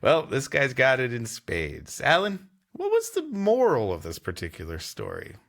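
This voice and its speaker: A man's voice: mid-range voice, huge pitch swings, moderate pace, vocal fry, fairly bright tone. A man co-hosting a podcast, wryly and dramatically narrating a vintage sci-fi story and joking with his co-host. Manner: wry, laughs easily, dramatic narration.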